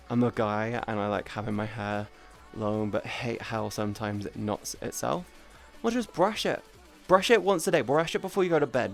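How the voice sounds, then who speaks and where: A woman live streamer chatting casually with viewers in an informal, sweary, rambling way. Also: very deep voice. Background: background music.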